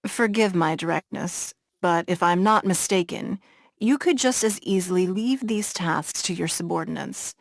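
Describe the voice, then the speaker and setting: A poised, shrewd noblewoman leader from a fantasy game. She speaks in a measured, formal and composed way, with a slightly low voice. The recording is dry and close, with no room sound. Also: gravelly, no room sound. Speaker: game character. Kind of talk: game cutscene voice acting.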